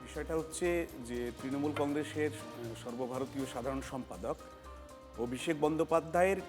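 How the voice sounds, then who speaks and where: A man's voice: mid-range voice, normal speed. A man giving methodical panel analysis as an academic, often rephrasing his points. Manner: methodical, explanatory, repeats and rephrases. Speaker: professor and political analyst. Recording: reverberant sound with music bed.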